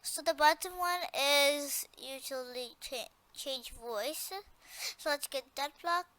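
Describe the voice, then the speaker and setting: Tutorial presenter, female, notably high pitch, unhurried pace, informal and hesitant, repeats each step. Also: pushed and bright, dry recording. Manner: hesitant, repeats instructions as she goes.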